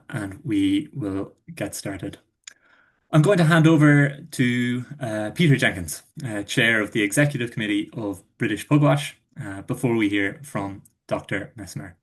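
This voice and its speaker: A man hosting a video call session, speaking in a low voice with a polite, formal manner. He runs through housekeeping before handing over to the next speaker. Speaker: host. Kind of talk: opening an online session.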